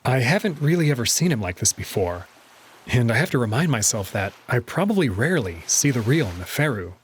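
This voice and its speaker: A man narrating and commenting on a visual novel in an expressive storytelling style. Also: medium pitch, fairly quick, a little hiss or hum. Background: music under the voice.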